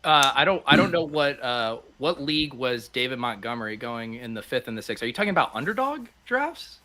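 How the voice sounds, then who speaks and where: Male sports podcast co-host, dry teasing delivery, bright tense tone. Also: medium pitch, very animated, brisk. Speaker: fantasy football podcast co-host. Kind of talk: casual sports chat.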